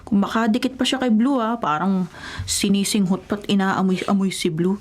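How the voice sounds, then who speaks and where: A woman's voice: mid-range voice, steady tone, moderate pace, close to an everyday voice. An actress with a Filipino accent playing a proud, defensive young woman in a radio drama, bantering and in denial about her feelings. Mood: defensive, vain. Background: music.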